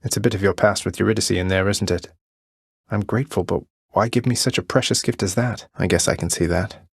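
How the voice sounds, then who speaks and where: A man playing a young underworld prince in a video game, friendly, courteous and gently wry. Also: lowish voice, fairly even intonation, a little fast, gravelly, slightly rough, no echo, clean studio sound. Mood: warm, encouraging.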